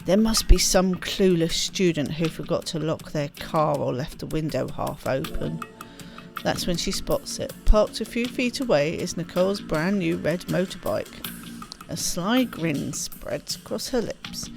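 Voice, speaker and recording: A woman's voice: low voice, lively intonation, measured pace, gravelly. A woman reading a game's story text aloud expressively, with casual spontaneous asides as she plays. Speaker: let's play host reading game text aloud. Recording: music behind the voice. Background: game music.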